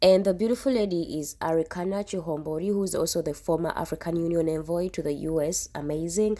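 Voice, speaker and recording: Low-voiced young woman. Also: fast talker, dark tone. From Uganda. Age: young adult. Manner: earnest, emphatic, often repeats herself. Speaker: YouTube reaction host. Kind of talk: casual reaction commentary.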